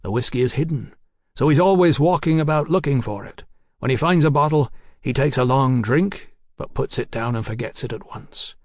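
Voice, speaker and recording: A man playing a tipsy, earnest educated gentleman. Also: mid-range voice, moderate pace, dry recording, studio quality, natural voice. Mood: intense, rambling.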